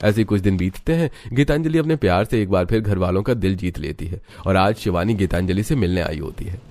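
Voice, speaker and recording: A man's voice: deep voice, expressive, a little fast, a little mellow. A man reading scripted narration for a story. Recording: music under the voice. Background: background music.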